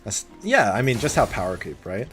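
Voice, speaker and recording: A man's voice: fairly low pitch, big pitch swings, moderate pace. A man livestreaming a game, chatting casually in a laid-back, conversational way as he thinks aloud. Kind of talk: casual chat over gameplay. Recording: voice over loud game music. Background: loud game music.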